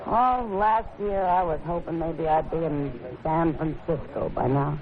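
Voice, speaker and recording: A woman's voice: low voice, a little slow. An American woman playing a world-weary, wry and wistful frontier saloon woman in a 1950s radio western. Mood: wry, discontented. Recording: tinny old radio recording, crowd behind.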